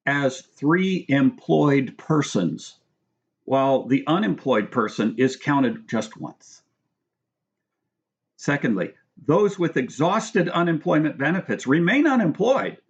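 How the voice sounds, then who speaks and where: Dull-sounding recording of a man reading aloud. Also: on the high side. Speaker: author reading own book aloud. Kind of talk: scripted narration with brief spoken asides.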